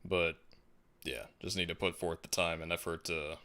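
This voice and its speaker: Man, very low voice, laid-back, musing, casual stream chat, soft laughs. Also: little pitch movement, normal speed. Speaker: livestream host. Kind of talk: casual livestream chat, reading viewer comments.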